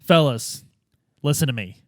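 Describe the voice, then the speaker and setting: A man's voice: mid-range voice, animated, measured pace, dry recording, studio quality. A man playing a tabletop roleplaying game, talking casually and slipping in and out of character.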